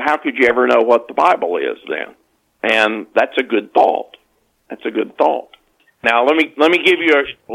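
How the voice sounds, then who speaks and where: A man talks as a guest author in an interview. He explains things in a conversational way, often backing up to repeat or rephrase a word. His delivery is halting as he works through each point. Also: medium pitch, creaky, a bit bright, low-quality recording. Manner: halting, with repeated self-corrections.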